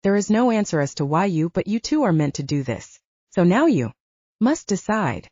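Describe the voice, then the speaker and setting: A woman's voice: on the low side, very animated, moderate pace, dark tone, dry recording. A woman narrator playing a serious, skeptical male mentor who speaks persuasively and matter-of-factly in an audiobook. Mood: serious, frank. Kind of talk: audiobook character dialogue. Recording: dull, band-limited audio.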